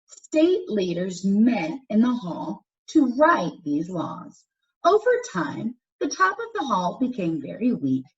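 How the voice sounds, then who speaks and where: Female teacher, children's read-aloud, animated intonation, deliberate instructional delivery, muffled lo-fi recording. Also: on the high side. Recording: dull, distant microphone pickup.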